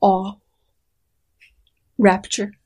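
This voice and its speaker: A woman's voice: medium pitch, completely flat delivery, drawn-out words, darkish tone. A woman speaking as a guest scientist, reading research aloud and commenting in an articulate, precise way. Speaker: guest biologist.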